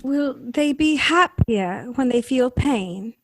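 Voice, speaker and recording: A woman's voice: high-pitched, lively intonation, slow pace, no echo, heavily acted, rough recording. A woman playing an earnest, idealistic young society lady who questions things anxiously, in a 1930s-style radio drama. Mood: troubled.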